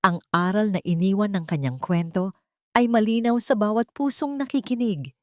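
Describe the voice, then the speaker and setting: A woman with a Filipino accent reads a scripted dramatic story as narrator, in a measured, solemn and sentimental delivery. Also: mid-range voice, no echo.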